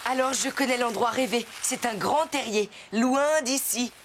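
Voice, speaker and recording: Woman voicing an earnest, high-voiced young boy hero. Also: measured pace, background noise, close to an everyday voice. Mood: reassuring, determined. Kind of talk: French animated series dubbing.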